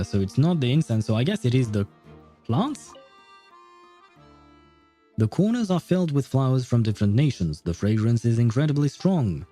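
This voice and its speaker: A man's voice: mid-range voice, mellow. A man livestreaming a video game, chatting casually and in a friendly, rambling way as he comments and reads dialogue aloud. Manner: chuckles often, rambling and friendly.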